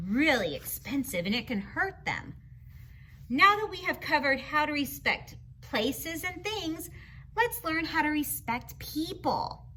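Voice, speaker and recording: Female teacher for a children's read-aloud: high, bright voice, simple instructive phrasing, question-and-explain delivery. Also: slight background noise. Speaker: teacher reading a picture book aloud to children.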